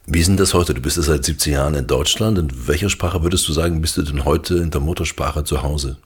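A man's voice: extremely low pitch, fast talker, breathy edge. A man interviewing a guest, asking curious, probing questions in a conversational way.

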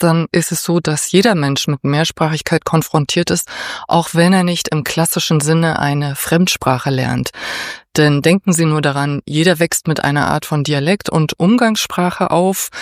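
A middle-aged woman from Berlin speaks in a measured, articulate way, explaining her points clearly as she answers interview questions. Her voice is dry and close, with no room echo. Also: deep voice. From Berlin, Germany. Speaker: district culture and education official.

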